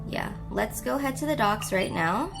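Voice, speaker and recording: A young woman hosting a gaming video, chatting casually and excitably, correcting herself as she goes, with game music behind. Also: a little high, moderate pace, gravelly. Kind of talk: casual, chatty gameplay commentary.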